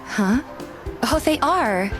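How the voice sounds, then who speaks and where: A woman's voice: a little high, expressive, slow, fairly bright tone. A woman playing a pouty, loyal young heroine who complains playfully in a fan dub of a romance game. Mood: nostalgic, teasing. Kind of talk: fan dub dialogue with first-person narration. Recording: clear background music.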